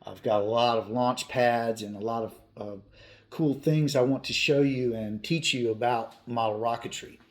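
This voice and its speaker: A man's voice: mid-range voice, fairly even intonation, moderate pace. Earnest man in his fifties, chatting casually. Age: 50s. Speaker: hobby channel host. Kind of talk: casual talk to viewers.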